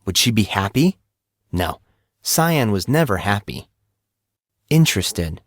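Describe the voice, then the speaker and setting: A man's voice: on the low side, sing-song, takes it slowly, dry recording, studio quality. A man giving scripted, dramatic narration of a story as an audiobook narrator.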